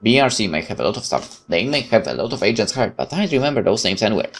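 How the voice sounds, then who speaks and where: A man's voice: on the low side, very animated, fast, slight background noise. A man reading visual novel narration aloud dramatically, with casual asides as a gaming host.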